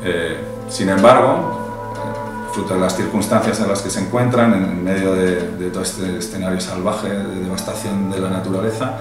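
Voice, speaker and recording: A man's voice: deep voice, steady tone, normal speed. A man the in his fifties, speaking thoughtfully as an interview guest, with frequent restarts and tag questions. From Basque Country, Spain. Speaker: novelist and journalist, interview guest. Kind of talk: interview answers about his novel. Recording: very echoey room, voice from a distance, music behind.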